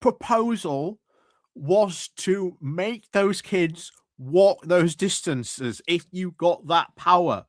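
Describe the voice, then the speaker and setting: A man's voice: high-pitched, takes it slowly, no echo, clean studio sound. A man doing live reaction commentary over council footage, sarcastic and laughing at what he hears.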